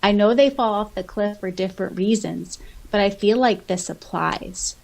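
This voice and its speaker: A woman's voice: medium pitch, normal speed, gravelly, darkish tone, rough recording. A woman co-hosting a podcast, chatting informally and reading comments aloud with enthusiasm. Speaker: podcast co-host. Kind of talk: casual podcast chat, reading out listener comments.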